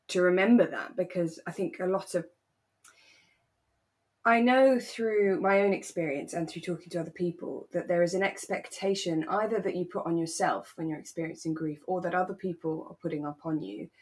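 Lower-pitched woman chatting reflectively. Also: a little mellow. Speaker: podcast co-host. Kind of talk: relaxed casual discussion of a radio drama.

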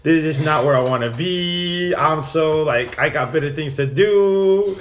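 A man's voice: on the high side, unhurried, a bit bright, slight background noise. A young man from Texas sharing his faith story in casual, earnest talk, full of fillers and restarts. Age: young adult.

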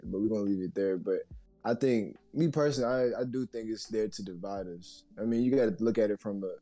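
Low, mellow-voiced reflective man. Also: brisk, soft background music. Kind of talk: casual chat.

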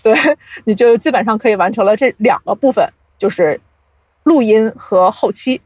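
A woman's voice: on the high side, moderate pace, a little mellow, no echo. A woman guest on a podcast explaining things casually, like a storyteller, with self-deprecating asides. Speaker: podcast guest, audio drama producer.

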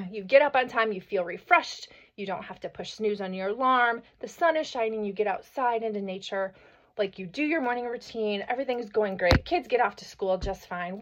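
A woman in her thirties or forties hosting a motivational podcast, hoarse from a cold, talking energetically and often asking "right?". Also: on the high side. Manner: energetic, chatty, peppered with "right?" and "like". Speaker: podcast host. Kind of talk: motivational solo podcast talk. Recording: slightly dull lo-fi audio.

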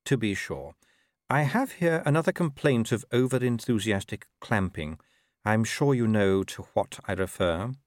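Man playing a dry, measured, sardonic ruler. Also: lowish voice, dry recording, lightly acted. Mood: dry, patient. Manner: measured, formal, deadpan. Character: dry, urbane, patient male ruler, quietly sardonic.

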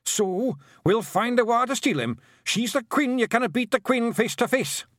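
A man's voice: extremely high pitch, lively intonation, normal speed, a bit bright, dry recording, clean studio sound, voice pushed up. A man voicing a tiny, scrappy male pixie clan leader with an urgent, bossy manner, in a storybook reading. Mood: urgent warning.

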